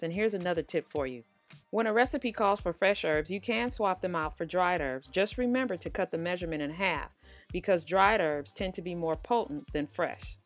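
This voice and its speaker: Casting: female cooking host, lowish voice, chatty informal recipe voice-over, light music bed. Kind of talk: casual recipe tutorial narration. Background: background music.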